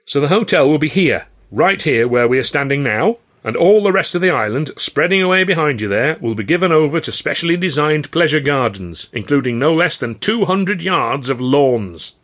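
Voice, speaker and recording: A man's voice: a little high, lively intonation, normal speed, hardly any pauses, a bit bright, voice pushed up. A man voicing a smug, domineering showman boss with pompous, theatrical speechifying, in an audiobook. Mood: grandiose.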